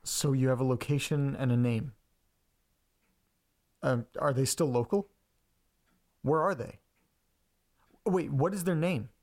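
A man acting as an ordinary guy in an audio drama, curious and apologetic. His mid-range voice comes in halting bursts, repeating itself as he reaches for answers. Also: mid-range voice, a little mellow, natural voice. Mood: stunned, eager. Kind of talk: naturalistic audio drama dialogue.

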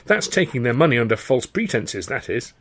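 A man's voice: mid-range voice, big pitch swings, fairly quick, slightly rough. A man voicing a spirited, forthright young woman from a theatre troupe in an audiobook. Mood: indignant.

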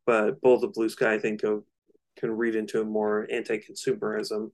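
A man co-hosting a casual discussion of music, speaking in hesitant, halting phrases. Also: on the low side, monotone, darkish tone. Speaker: music discussion podcast co-host.

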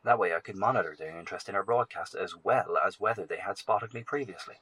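An actor playing a weary, anxious professor in a horror audio drama, speaking gravely and hesitantly in a recorded broadcast to survivors. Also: male voice, sits low, fast, bright, forceful tone, heavily acted. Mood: confiding, uneasy.

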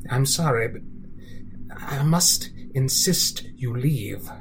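A man's voice: mid-range voice, lively intonation, slow pace, a little hiss or hum, rough recording. A man playing a pompous, menacing male narrator who sets himself up as a moral guardian and drops into whispers. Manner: theatrical, shifting to whispers. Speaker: narrator of a comedy podcast.

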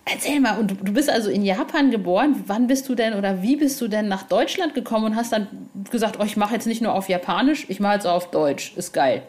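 A woman with a medium-pitched voice hosts a podcast interview. She talks casually and informally, putting her questions and reactions with open enthusiasm. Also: brisk, few pauses, darkish tone.

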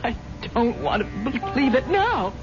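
An actress playing an earnest, wounded rich wife in a 1970s radio drama, speaking with theatrical sincerity. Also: female voice, fairly high pitch, big pitch swings, unhurried. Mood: reproachful. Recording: dull 1970s radio broadcast, music behind.

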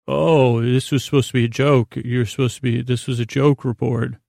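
A man hosting a sleep podcast with a rambling, improvised monologue, halting and circling back on himself in an unhurried, lulling way. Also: medium pitch, fairly even intonation, creaky, a little mellow, no room sound, clean studio sound. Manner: halting, meandering, self-interrupting, with trailing repetitions. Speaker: podcast host.

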